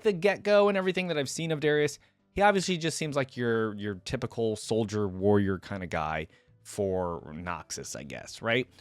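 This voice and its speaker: A man's voice: medium pitch, no room sound. Man doing casual reaction commentary, dry sound. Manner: informal asides while reading. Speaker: YouTube reaction host. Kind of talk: casual reaction commentary, partly reading lore aloud.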